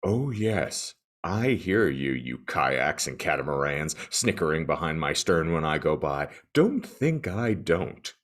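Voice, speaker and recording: A man's voice: very deep voice, very animated, slow, few pauses, exaggerated voice. A man voicing an aggrieved, self-pitying huge ship, earnest and melodramatic in a mock-serious dramatic reading. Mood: wounded, resentful.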